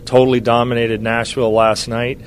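A man in his fifties, a hockey head coach, talking casually on a radio show, with lots of pauses, "uh"s and restarts. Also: medium pitch, level tone, slight background noise. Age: 50s. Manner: rambling, many uhs and restarts.

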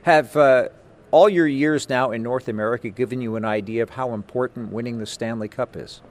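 A man's voice: medium pitch, brisk. A man hosting a conversational sports interview, talking in a wordy, encouraging way.

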